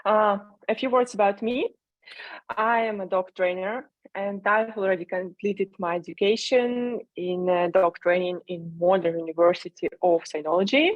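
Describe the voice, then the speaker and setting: A woman from Russia with a Russian accent presenting slides in non-native English over a video call, in a measured way with pauses. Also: medium pitch, fairly even intonation, no echo. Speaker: conference speaker, dog trainer. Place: video call with screen sharing. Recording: dull lo-fi online call audio.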